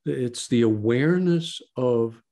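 A man's voice: medium pitch, slow pace, dark tone. A man co-hosting a podcast discussion, emphatic and given to repeating key phrases. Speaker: podcast co-host.

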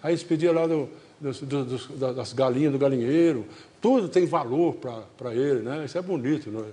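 Older brazilian guy in his 70s hosting a folksy tv chat, mid-pitched voice, kinda rambles and repeats himself. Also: normal speed, dark tone. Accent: Brazilian Portuguese. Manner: rambling, repetitive, fond of diminutives. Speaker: television show host. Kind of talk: casual folksy interview chat. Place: TV studio stage before an audience. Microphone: lapel mic.

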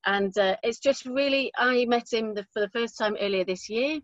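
Casting: middle-aged woman host, informal and halting, dry but muffled video-call sound. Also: fairly high pitch, dry recording. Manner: halting, self-correcting. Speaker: host introducing a speaker. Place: small indoor room, video call. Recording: dull, lo-fi video call audio.

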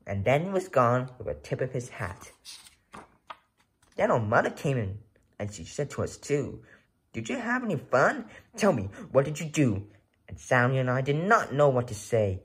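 A woman reading a children's rhyming book aloud in an expressive, sing-song delivery. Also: rumbling low, normal speed. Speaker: storybook reader.

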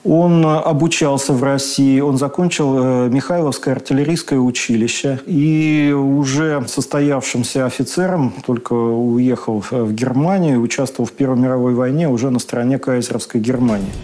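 A middle-aged man speaks as a historian in a documentary interview, with a mellow, warm tone. He is thoughtful, pausing with filler sounds, recorded on a lapel mic in a quiet study. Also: medium pitch. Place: indoor study with bookshelves.

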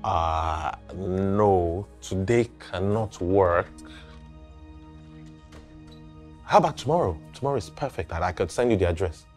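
Casting: a glib, slippery ladies' man in a film drama, low-pitched voice. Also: expressive, normal speed, darkish tone, faint music behind. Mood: casual, cheerful. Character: smooth-talking male lover, evasive and defensive when cornered.

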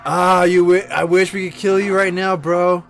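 A young man streaming a game, reacting in a loud, crude, joking way into a studio mic at home. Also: high voice, little pitch movement, normal speed, gravelly. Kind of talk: casual reaction commentary. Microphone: studio condenser on arm. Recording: game music in background.

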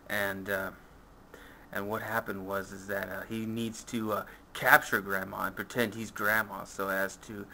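A man improvising story narration in a halting, rambling way. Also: lowish voice, pushed and bright. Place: small room at home. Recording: noisy home camera audio.

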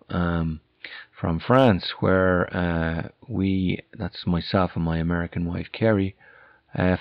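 A middle-aged Irish man with a softened accent hosting a casual solo podcast, talking in a hesitant, rambling, self-correcting way. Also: sits low, vocal fry, no room sound. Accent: Irish, softened by years abroad. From Ireland. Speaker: podcast host and author. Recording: dull, lo-fi, close dry sound.

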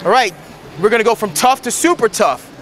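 A man's voice: sits high, animated, brisk, a bit bright. A man giving an informal hands-on demonstration, talking insistently and repeating short commands. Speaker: product demonstrator at a convention booth. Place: convention booth in large indoor hall. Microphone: camera a few metres away. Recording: busy convention hall crowd noise.

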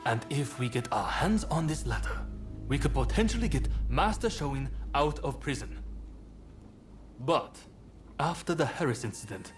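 A man playing a composed, courteous young samurai rebel leader in a video game, speaking in a polite, measured and earnest way. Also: fairly high pitch, lively intonation, soft background music. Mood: urgent, businesslike. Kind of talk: video game cutscene acting.

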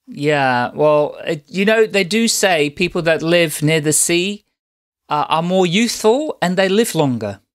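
A man's voice: on the high side, lively intonation. A man hosting a podcast, welcoming his guest and asking questions in an upbeat, encouraging way. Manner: upbeat, encouraging, quick acknowledgements. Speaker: podcast host. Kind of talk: podcast interview.

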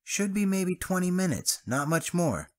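A man voicing an excitable young male friend in a high, strained voice. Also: unhurried. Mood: hurried. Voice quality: high strained voice, much raised.

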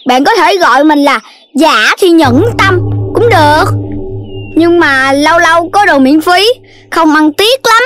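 A performer voicing an excitable, greedy young animal child in a children's cartoon, whiny and exclamatory, with a Southern Vietnamese accent. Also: very high voice, measured pace, soft background music, full character voice. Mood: cheeky.

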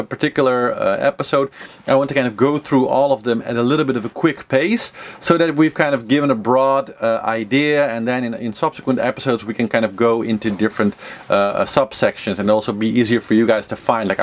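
A man in his thirties hosting an informal how-to vlog, thinking aloud in a hesitant, rambling way as he explains things to camera. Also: medium pitch. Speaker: sailing instructor and series host. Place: small wood-lined sailboat cabin, indoors.

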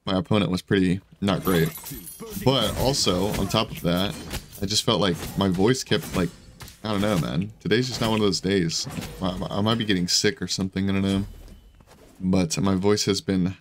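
A man with a hoarse, scratchy voice giving casual, rambling commentary over his own gameplay, full of fillers and restarts. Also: low-pitched, fairly even intonation, moderate pace. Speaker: gaming video creator.

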